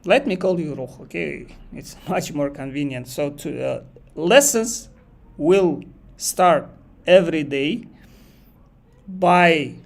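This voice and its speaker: Kazakh-accented male tutor, a touch high-pitched, unscripted live class, loose and repetitive phrasing. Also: slow pace, creaky, long pauses. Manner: thinks aloud, repeats phrases, reads chat questions aloud. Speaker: English exam teacher. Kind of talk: live online lesson.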